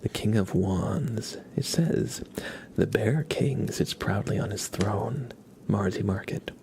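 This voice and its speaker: A young man hosting a relaxed ASMR livestream, mostly whispering and speaking softly in a reassuring, encouraging way. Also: fairly low pitch, slow pace, gravelly, a little hiss or hum. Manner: soft-spoken, mostly whispering. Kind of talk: relaxed ASMR, chatting with viewers and reading tarot cards.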